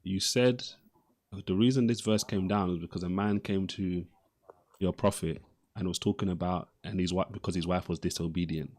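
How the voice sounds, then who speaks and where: A man with a fairly low voice in a live religious debate. He interrogates persistently, circling back to the same question and pressing for a direct answer. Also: steady tone, normal speed, darkish tone.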